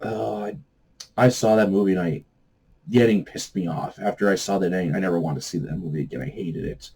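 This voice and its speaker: A man hosting a book review vlog in a casual, off-the-cuff way, halting and meandering as he searches for words. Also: deep voice, creaky, mellow, breathy edge. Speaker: host of a book review channel.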